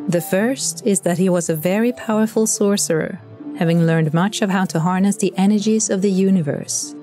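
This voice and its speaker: A woman narrates an audio drama in a mellow, relaxed voice on the low side. Her storytelling is wry and measured, with faint music underneath. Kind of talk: scripted fiction narration.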